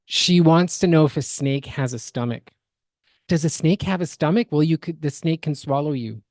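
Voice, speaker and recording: A woman's voice: sits low, moderate pace, a little mellow, no room sound, faint music behind. Opinionated woman podcaster, close dry sound. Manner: opinionated, mocking. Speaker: podcast co-host. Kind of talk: casual reaction commentary.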